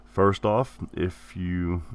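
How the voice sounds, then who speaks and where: Gaming host, low male voice, a bit rough from the flu, rambles and restates himself. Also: fairly even intonation, very slow. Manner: rambling, repeats and corrects himself. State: hoarse, getting over the flu. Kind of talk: casual giveaway announcement.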